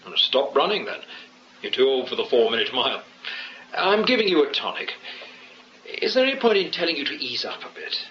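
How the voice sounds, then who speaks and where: An actor playing a sensible British family doctor in a radio drama, measured and matter-of-fact. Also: male voice, mid-range voice, very animated, lightly acted. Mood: brisk, reassuring. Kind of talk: 1980s BBC radio drama acting. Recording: muffled radio broadcast with hiss.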